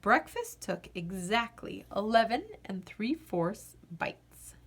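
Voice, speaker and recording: A woman reading a children's picture book aloud as an expressive narrator, with deliberate phrasing and dramatic pauses. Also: medium pitch, breathy edge. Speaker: children's storybook reader.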